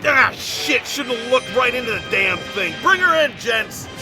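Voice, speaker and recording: A man's voice: very high-pitched, animated, unhurried, over music. A man playing a wry, confident, jokey ghostbuster who rallies his team in an audio drama. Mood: rallying. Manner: jokey, folksy.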